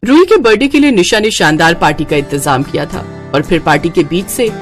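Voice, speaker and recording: A woman's voice: fairly low pitch, lively intonation, brisk, faint music behind. Woman narrating a moral story.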